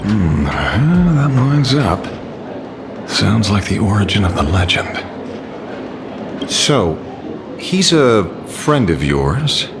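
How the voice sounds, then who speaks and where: A man voicing an earnest, polite detective in a video game, speaking deliberately. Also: fairly low pitch, big pitch swings, background noise, full character voice. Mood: businesslike. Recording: music under the voice.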